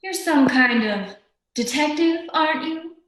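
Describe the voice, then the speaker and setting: Woman acting hard-boiled femme fatale, curt, sardonic, slightly high female voice, radio drama, echoey room. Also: lively intonation, unhurried, a bit bright. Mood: cool, suspicious. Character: tough, cool, sharp-tongued glamorous young femme fatale. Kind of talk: old-time radio detective drama performance.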